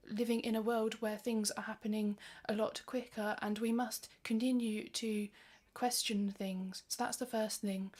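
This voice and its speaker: Flat-voiced female interpreter, slightly high. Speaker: interpreter for a panelist. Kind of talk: simultaneous interpretation.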